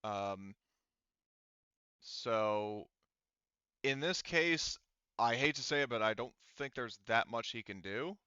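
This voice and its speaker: A man's voice: medium pitch, lively intonation, takes it slowly, pauses often, sharp tone, smooth, dry recording, highs cut off. A man acting as game master, casually talking through rules and thinking aloud in a hesitant way. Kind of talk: casual tabletop game refereeing, explaining rules.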